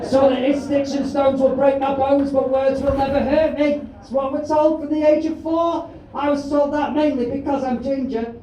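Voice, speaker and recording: A man's voice: very high voice, level tone, normal speed, dull audio. A sweary man, hosting a live stand-up night and teasing the crowd. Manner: brash, sweary, teasing the audience. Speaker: comedy compere. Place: live comedy club. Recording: echoey room, distant mic, crowd noise.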